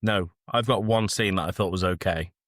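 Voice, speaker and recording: A man's voice: low-pitched, moderate pace, fairly bright tone, no echo, studio quality. A man co-hosting a podcast in casual film review banter, blunt, sweary and facetious. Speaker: podcast co-host.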